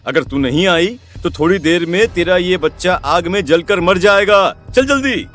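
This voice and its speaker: A man's voice: high voice, animated, moderate pace, fairly bright tone. A man playing a possessed village husband in a horror cartoon, coaxing and menacing in a leering way. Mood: threatening.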